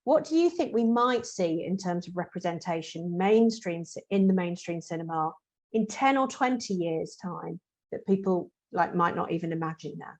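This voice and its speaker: A woman's voice: fairly low pitch, animated, normal speed, darkish tone. Chatty woman hosting a webinar. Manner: chatty, reads out audience questions. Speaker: webinar host. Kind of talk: casual webinar conversation.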